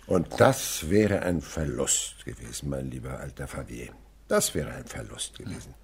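A man's voice: a bass voice, expressive, normal speed, creaky, husky, heavily acted. An actor playing a patient, enthusiastic male teacher and naturalist in a German radio play, with a didactic, dramatic delivery. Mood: gentle, wry. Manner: didactic, dramatic swings between murmur and declamation.

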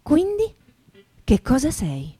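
A woman giving a deliberate, theatrical reading of literary narration. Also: sits high, huge pitch swings. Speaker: reader and stage performer. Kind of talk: dramatic literary reading, second-person narration. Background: plucked acoustic guitar music.

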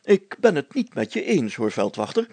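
A man's voice: mid-range voice, sing-song, normal speed, darkish tone, no room sound, voice pushed up. A man voicing a pompous, solemn, overcautious village mayor in a storybook reading, speaking in formal, stately phrases. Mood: pompous, grave.

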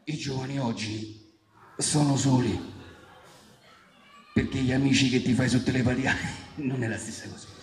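A man playing a nostalgic, grumbling old grandfather with a Roman accent, talking slowly about the old days. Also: mid-range voice, fairly even intonation, darkish tone, voice pushed down, lightly acted. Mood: pitying, grumbling. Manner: slow, grumbling about young people. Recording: echoey hall with music.

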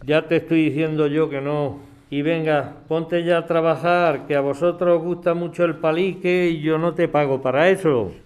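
Grumpy, high-pitched Spanish older man, acted. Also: steady tone, moderate pace, few pauses, a little mellow, lightly acted. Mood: irritated, curt. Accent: Spain. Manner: curt, grumbling, commanding. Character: grumpy, bossy, distrustful older man, housebound employer. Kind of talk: acted audio drama dialogue.

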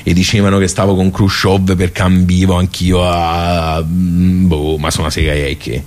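A nostalgic village club regular, played by a male actor, reminisces in a low-pitched man's voice with a Tuscan accent. He is chatty and folksy, wandering off into digressions as he goes. The delivery is that of a colloquial radio theatre monologue. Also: flat delivery, moderate pace, darkish tone, natural voice. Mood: nostalgic, wry.

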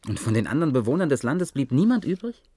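A man's voice: medium pitch, very animated, fast talker, dark tone, dry recording, clean studio sound. An actor in a German radio play, playing a polite, earnest man who asks questions in a deferential, tentative way. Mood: inquiring. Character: polite, earnest man interviewing a bunker survivor.

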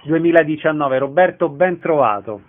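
A man's voice: on the high side, expressive, normal speed. A man hosting a radio interview, introducing and putting short questions to a guest. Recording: muffled, lo-fi radio audio.

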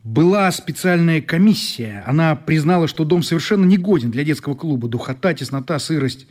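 An actor playing a sympathetic, frank man with a husky voice who explains things earnestly in a radio play. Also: a little high, expressive, brisk, a little mellow. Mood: frank, confiding.

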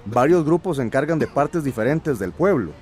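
An actor playing a folksy, teasing villager in a radio drama. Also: male voice, medium pitch, animated, normal speed, darkish tone, faint music behind, natural voice.

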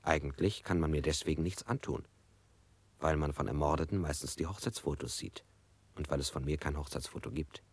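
An actor playing a dazed man under interrogation, who answers in detached, halting fragments. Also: extremely low pitch, slightly rough, no room sound, exaggerated voice. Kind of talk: radio drama acting.

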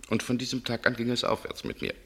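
A man's voice: mid-range voice, quick pace, vocal fry. An actor playing a suave, sardonic man with a shady past, dry and nonchalant in a German radio drama. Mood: frank, hardened.

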